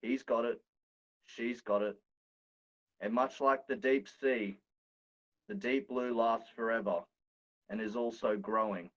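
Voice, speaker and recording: Casting: man, medium-pitched, sweary joker for loose podcast banter and amateur poetry reading. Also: steady tone, takes it slowly, long pauses, a bit bright, muffled recording. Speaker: podcast guest reading own poem.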